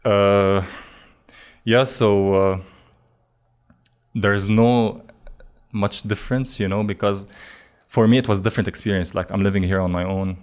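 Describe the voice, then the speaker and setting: A man's voice: fairly low pitch, steady tone, measured pace, long pauses, clean tone. A man in his early twenties from Lebanon, a podcast guest talking earnestly about his path, with many fillers and restarts. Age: 20s. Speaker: guest, digital marketing specialist. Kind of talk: casual podcast interview.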